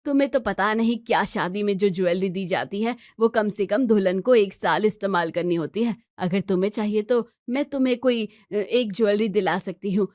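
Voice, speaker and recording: A woman voicing an older, practical mother-in-law in a family tale, measured and slightly hesitant as she explains. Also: mid-range voice, a little mellow, no room sound, clean studio sound, lightly acted.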